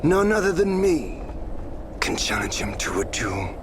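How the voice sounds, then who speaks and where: A man's voice: medium pitch, very animated, unhurried. An actor playing a smug, taunting male celestial warrior god in a game cutscene, drawing out his words. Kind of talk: video game cutscene acting. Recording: game cutscene with ambient noise.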